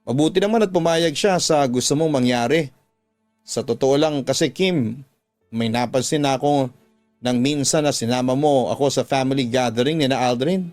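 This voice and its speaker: A man's voice: medium pitch, a little mellow, dry recording, lightly acted. A reproachful young woman played by a male narrator questions and scolds as a worried confidante in a radio drama. The voice is dry and close, over background music. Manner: reproachful, probing.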